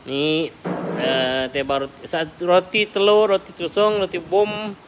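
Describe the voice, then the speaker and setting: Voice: mid-range voice, steady tone, unhurried. A food vlogger with a Malaysian accent giving casual walk-around commentary, asking questions and repeating answers back in an inquisitive way. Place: walking outside and inside a roadside cafe. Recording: noisy handheld recording with cafe ambience.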